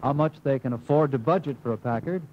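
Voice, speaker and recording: A man's voice: medium pitch, fast. An American man reading scripted instructional narration in an emphatic manner, as the narrator of a training film. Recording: muffled, hissy 1950s film soundtrack.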